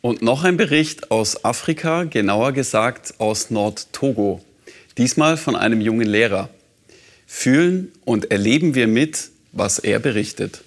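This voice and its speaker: A man's voice: medium pitch, lively intonation, unhurried. Earnest man presenting letters. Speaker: program presenter. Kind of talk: introducing and reading listener letters.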